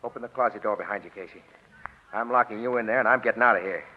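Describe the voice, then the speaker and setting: A man's voice: fairly low pitch, rapid-fire, a little hiss or hum, natural voice. An actor playing an edgy, easily cowed little man suspected of murder in old-time radio melodrama style. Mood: desperate, threatening. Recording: dull vintage radio recording.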